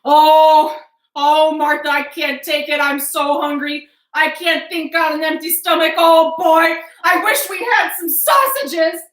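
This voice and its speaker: A woman voicing an excitable, simple-hearted woodcutter husband in a children's story, with blustering exclamations. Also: high-pitched, measured pace, far from the mic, voice pushed up. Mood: hungry, whining. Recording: echoey living room.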